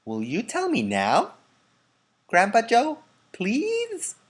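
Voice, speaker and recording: A man's voice: very high voice, wildly swooping pitch, takes it slowly, breathy edge, pitched above the performer's own voice, heavily acted. A man voicing a polite, curious little boy in a children's storybook, earnest and full of questions. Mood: pleading wonder. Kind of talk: children's storybook read-aloud.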